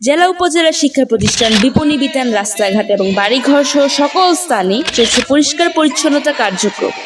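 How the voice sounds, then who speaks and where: A woman's voice: high voice, animated, a little fast, far from the mic, noisy background. A woman playing a formal female television news reporter, reading a scripted report in a declarative way for a cartoon.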